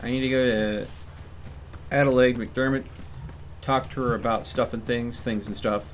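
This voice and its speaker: A man's voice: mid-range voice, fairly even intonation, moderate pace. Man musing through a game, background noise. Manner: musing to himself. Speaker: game streamer, host. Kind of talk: casual live gameplay commentary.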